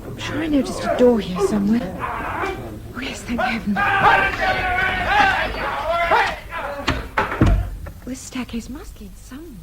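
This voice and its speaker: An actress playing a naive, highly strung young British woman in a radio drama, fretful, expressive and well-spoken. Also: brisk, crowd noise, close to an everyday voice. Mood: anxious, panicky.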